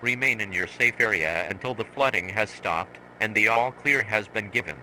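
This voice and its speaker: Very sharp, bright synthetic male alert voice. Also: fairly low pitch, moderate pace, a little hiss or hum, low-quality recording. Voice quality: synthetic text-to-speech voice. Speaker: emergency alert announcer. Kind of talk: scripted emergency broadcast announcement.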